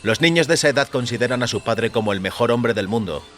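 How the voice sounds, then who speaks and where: A man's voice: medium pitch, lively intonation, fast talker, a bit bright, soft background music, pitched above the performer's own voice, close to an everyday voice. A man voicing an indignant, articulate man, formal, emphatic and accusing, in an audio story. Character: indignant, articulate dying man, morally outraged avenger. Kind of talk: dramatised short-story dialogue.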